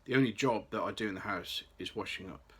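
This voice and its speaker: Low-voiced young man, bright tone. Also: fast talker, husky. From Birmingham, England. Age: late 20s. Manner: careful, read-aloud delivery with occasional restarts. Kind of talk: scripted personal vlog.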